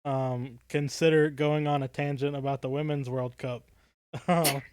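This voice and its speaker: A man's voice: medium pitch, steady tone, measured pace, darkish tone, clear, resonant tone, dry recording. A man around his thirties co-hosting a casual livestream chat, rambling along in a jokey, tongue-in-cheek way. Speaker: podcast co-host.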